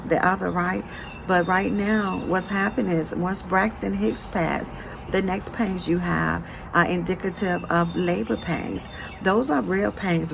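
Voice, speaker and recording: Hoarse woman preaching with a gravelly voice. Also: on the low side, noisy background. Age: adult with children. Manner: preachy, repeats "right?" after phrases. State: hoarse, straining voice. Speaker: motivational speaker. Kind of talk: inspirational faith talk.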